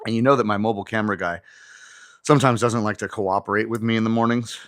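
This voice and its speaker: A man's voice: fairly low pitch, steady tone, fast, fairly bright tone, no room sound, clean studio sound. A man in his forties hosting a casual live stream from his garage gym, chatting with viewers and reading out their comments while he stretches. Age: 40s. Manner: chatty, talks straight to viewers, reads out comments. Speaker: livestream host. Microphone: phone camera on an auto-tracking mount.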